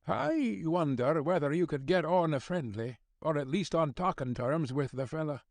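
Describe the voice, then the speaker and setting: A man's voice: a little high, expressive, moderate pace, no echo. A man voicing a crabbed but kindly old Scottish news editor, fussy and avuncular, in an audiobook. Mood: coaxing. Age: older. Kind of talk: audiobook character dialogue.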